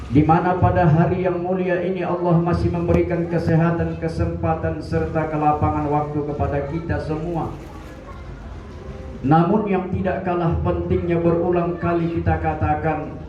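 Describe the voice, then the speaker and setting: A man in his sixties giving a Friday mosque sermon, measured and building in emphasis. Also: sits high. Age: 60s. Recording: echoey, distant, dull mosque recording with light noise.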